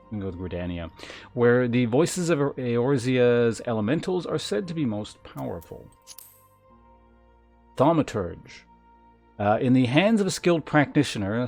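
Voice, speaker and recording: A man's voice: medium pitch, a little mellow. Casual male game streamer over music. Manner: informal, thinking aloud. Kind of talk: casual livestream chat, reading game text aloud.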